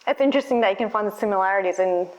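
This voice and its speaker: An Australian woman speaks as a guest in a podcast interview. Her voice sits at an average pitch for a woman, and she talks conversationally and reflectively, as if sharing her own life. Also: rapid-fire, a little mellow, dull audio.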